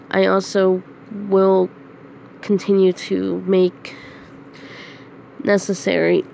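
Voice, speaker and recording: A woman with a head cold, sounding nasal and hoarse, talking to her channel's viewers in a slow, halting casual announcement. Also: mid-range voice, darkish tone, slight background noise. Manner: slow, halting, with frequent throat clearing and coughs. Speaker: channel host. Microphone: phone screen recorder.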